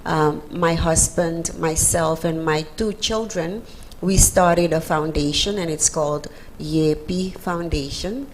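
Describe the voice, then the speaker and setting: A woman's voice: low-pitched, slow, a little hiss or hum, low-quality recording. A woman from Suriname whose first language is Dutch, speaking earnestly as a panelist introducing herself and her anti-racism work. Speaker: city anti-racism program manager.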